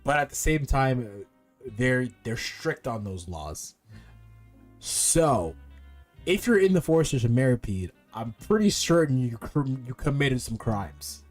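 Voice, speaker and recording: A man's voice: medium pitch, sing-song, measured pace, soft background music. A young man giving casual gaming commentary, joking and slangy, sometimes reading game text aloud. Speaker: game streamer.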